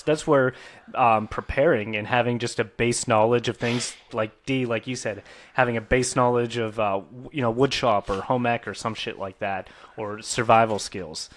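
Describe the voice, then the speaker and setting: A man in his thirties chatting on a podcast, agreeable and halting, often restarting his sentences. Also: medium pitch. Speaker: podcast co-host. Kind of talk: casual chat.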